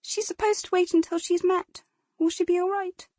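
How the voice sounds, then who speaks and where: A woman's voice: very high-pitched, fairly even intonation, normal speed, darkish tone, no echo, clean studio sound, voice pushed up. A woman voicing a frightened, distraught twelve-year-old girl in an audiobook, crying out and gasping breathlessly. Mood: tearful gasping. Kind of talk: audiobook character dialogue.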